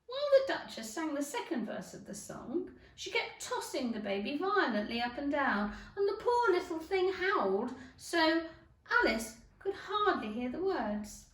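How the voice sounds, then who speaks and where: A woman giving an animated, emphatic narration of a children's storybook, like a teacher. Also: sits high, a little slow. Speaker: schoolteacher reading a story. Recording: echoey room, distant microphone.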